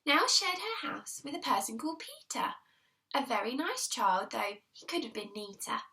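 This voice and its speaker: A woman reading a children's picture book aloud in an expressive, deliberate storytelling manner, leaning on the rhymes. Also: sits high, recorded from a distance. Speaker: primary school teacher reading aloud.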